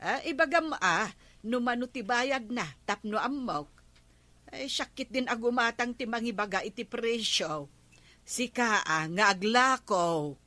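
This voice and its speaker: A woman's voice: fairly high pitch, animated, a little slow, pushed and bright, no echo. A woman playing a chummy, haggling village customer in a comedy radio drama, bantering with an Ilocano accent.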